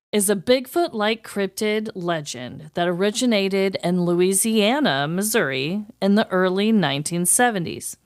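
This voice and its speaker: A woman podcast host with a clear, smooth voice at a middle pitch. She talks casually, moving between chat and her notes, in a very dry recording. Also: dry recording.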